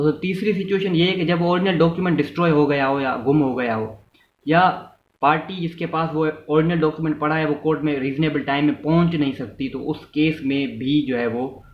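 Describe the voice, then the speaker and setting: A Pakistani man lectures informally in a fairly high voice, recorded in an echoey, slightly muffled space. He explains step by step, restating each point and switching into English for legal terms. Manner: repeats and rephrases points. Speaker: law lecturer. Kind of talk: informal explanatory law lesson. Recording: echoey room, muffled, faint noise.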